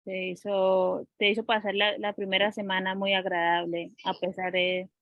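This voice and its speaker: A woman interviewer asking questions conversationally, with hesitant, halting phrasing. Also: mid-range voice, flat delivery, no room sound, narrow, tinny audio.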